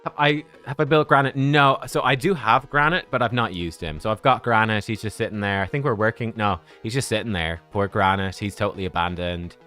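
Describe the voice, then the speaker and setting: A man's voice: lowish voice, fairly quick, few pauses, bright, forceful tone, faint music behind. A man in his thirties chatting casually with viewers on a game livestream, rambling and joking at his own expense. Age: 30s.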